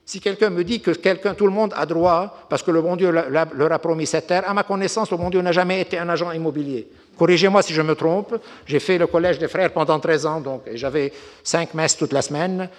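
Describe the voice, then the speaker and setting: A man's voice: fairly high pitch, steady tone, brisk. Older man in a reverberant hall. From Lebanon. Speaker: diplomat giving a talk. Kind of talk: unscripted geopolitical lecture with anecdotes.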